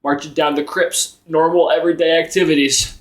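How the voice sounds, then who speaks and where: A man's voice: fairly high pitch, flat delivery, a little fast. A man playing a tabletop role-playing game, improvising casually with jokey, sarcastic banter.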